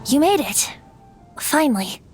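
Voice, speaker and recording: A woman's voice: sits high, big pitch swings, measured pace, a little mellow. A woman voicing a youthful, boyish wind spirit bard in a video game, playful and wistful, with a light, lyrical storyteller's manner. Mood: sheepish, light. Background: background music.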